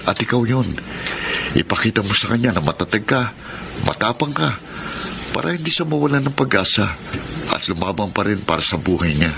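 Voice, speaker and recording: A man acting a kind, supportive male friend in a radio drama, speaking calmly and reassuringly. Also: lowish voice, a little fast, creaky, long pauses, noisy background. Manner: calm, reassuring delivery.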